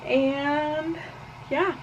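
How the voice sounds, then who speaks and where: Slow-talking, slightly hoarse, tired woman. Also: high voice, flat delivery, muffled recording, a little hiss or hum. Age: 30s. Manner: halting, rambling, self-correcting. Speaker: book vlogger. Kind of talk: casual reading update vlog.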